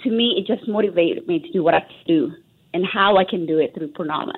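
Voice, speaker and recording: A woman guest speaks down a tinny phone line, her voice sitting fairly low. She talks earnestly and reflectively, pausing on fillers and restarting her sentences. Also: slightly rough. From Indonesia, raised in Texas. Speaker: radio interview guest. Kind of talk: radio interview answers.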